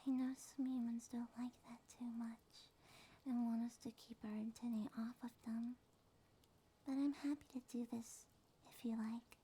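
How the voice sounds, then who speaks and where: A woman voicing a small, affectionate succubus monster girl in a soft, soothing, sleepy ASMR roleplay. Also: a little high, flat delivery. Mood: cozy, whispering. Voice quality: soft, breathy, often whispered. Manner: slow, tender, soothing.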